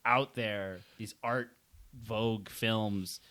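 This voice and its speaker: A young man with a lowish but bright voice talks through his views in a measured, reasoning way. He drops dry jokes into a relaxed chat among friends. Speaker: podcast co-host reviewing beer. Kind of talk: casual chat.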